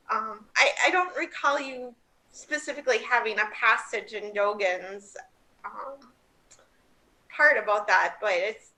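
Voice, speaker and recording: A woman with a bright, fairly high voice speaks up on a video call. She is friendly and a bit hesitant, with light laughs. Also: rough recording. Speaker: participant. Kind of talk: casual remarks on a video call.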